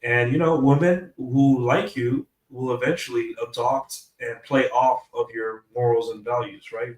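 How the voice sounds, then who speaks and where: Man, congested from a cold, nasal stuffed-up voice, middle pitch, rambling livestream chat, desk mic. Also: poor audio. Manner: rambling, keeps asking "right?". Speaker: streamer. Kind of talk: casual livestream monologue. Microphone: desk mic through an audio interface.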